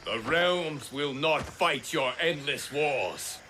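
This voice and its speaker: An actor playing a noble, defiant warrior hero in a video game, speaking with solemn, earnest conviction. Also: male voice, fairly high pitch, expressive, slow pace, heavy background noise. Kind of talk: dramatic video game cutscene acting. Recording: loud music behind the voice. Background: loud music.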